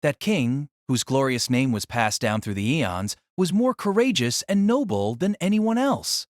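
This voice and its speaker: A man's voice: mid-range voice, big pitch swings, a little slow, no room sound, studio quality. A man narrating a novel as a dramatic audio story, in a solemn, theatrical manner.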